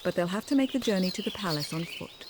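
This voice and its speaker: A woman playing a solemn, defiant sorceress in a video game, speaking deliberately. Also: lowish voice, animated, noisy background, close to an everyday voice. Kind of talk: video game cutscene acting.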